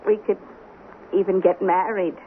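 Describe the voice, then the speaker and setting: An actress playing a stern, principled diner owner, prim and emphatic, scolding in 1970s radio drama style. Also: female voice, mid-range voice, steady tone, measured pace, close to an everyday voice. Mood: pressing, hurt. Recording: thin phone-like old radio, faint noise.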